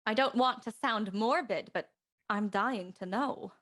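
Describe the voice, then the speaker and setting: A woman's voice: medium pitch, unhurried, fairly bright tone. A woman playing a lovelorn, candid Victorian woman with secrets in a murder mystery audio drama. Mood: curious.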